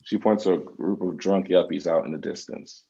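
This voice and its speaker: A man's voice: low voice, moderate pace, vocal fry, mellow, slightly rough, muffled recording. A man reading scripted screen directions as narrator at a table read. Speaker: stage-direction reader.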